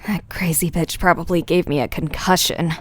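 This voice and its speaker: A woman's voice: fairly low pitch, steady tone, moderate pace, close to an everyday voice. An actress playing a wary, sardonic woman survivor who mutters dryly to herself in an audio drama. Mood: irritated. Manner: muttering, dry.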